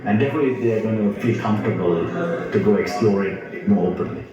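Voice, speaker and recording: A man who founded a record label explains things in a deliberate manner during an interview. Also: on the low side, heavy reverb, distant mic, muffled recording, loud music behind the voice.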